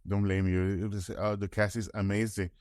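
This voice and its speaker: A man's voice: fairly low pitch, level tone, moderate pace, a little mellow, no room sound, clean studio sound. A man interviewing in a casual, fan-like way, stumbling through rambling questions with false starts.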